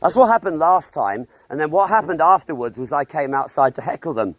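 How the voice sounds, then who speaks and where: A man with a loud, sarcastic, argumentative manner, livestreaming a confrontation outdoors on his phone. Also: on the high side, expressive, brisk. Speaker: street livestreamer and counter-protester. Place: outdoors near school grounds.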